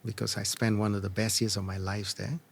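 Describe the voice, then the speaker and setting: A middle-aged man answering interview questions as an experienced business leader, in measured, earnest, short deliberate phrases. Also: lowish voice, flat delivery, creaky, slight background noise. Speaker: entrepreneur and former corporate leader, interview guest. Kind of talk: reflective conversational interview answers.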